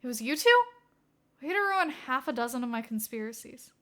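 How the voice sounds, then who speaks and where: Woman as a deadpan teen boy, high-voiced. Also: a little fast. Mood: let down. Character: deadpan teenage boy conspiracy theorist.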